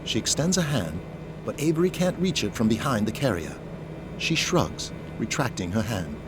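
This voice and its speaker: A man's voice: medium pitch, very animated, normal speed, noisy background. A man giving dramatic scripted narration as the narrator of an audio drama. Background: music.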